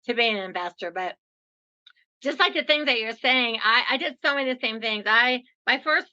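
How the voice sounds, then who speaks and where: Bubbly woman in her sixties, bright tone. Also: fairly high pitch, fairly quick, muffled recording. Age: 60s. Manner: upbeat, chatty, laughs easily. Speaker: podcast host. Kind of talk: casual interview chat.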